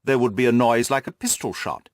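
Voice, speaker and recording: A man voicing a brisk, courteous and confident detective with precise, self-assured speech in an audiobook. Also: mid-range voice, dry recording, studio quality, close to an everyday voice. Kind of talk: audiobook character dialogue.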